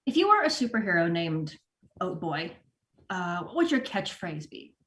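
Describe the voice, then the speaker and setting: A woman's voice: fairly low pitch, very animated, moderate pace, a bit bright. Woman acting flustered store manager, echoey room. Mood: awkward, subdued. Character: disorganized, defensive female co-op store manager. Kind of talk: comedy pilot table read. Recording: roomy, echoing home recording.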